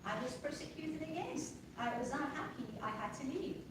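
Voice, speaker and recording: Middle-aged woman guest with an Iranian accent, earnest storyteller, fairly high voice, recorded in a boomy room from some distance. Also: animated, normal speed. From Iran. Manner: earnest, repeats "right?" for agreement. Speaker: podcast guest. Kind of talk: conversational storytelling. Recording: echoey room, distant mic, noisy.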